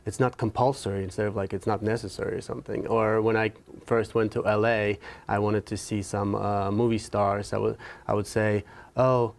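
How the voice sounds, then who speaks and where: A young man from Ukraine, speaking as an interview guest and pausing often with fillers and restarts. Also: lowish voice, little pitch movement, gravelly. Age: young adult. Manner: hesitant, many fillers and restarts. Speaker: student guest. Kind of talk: casual interview talk.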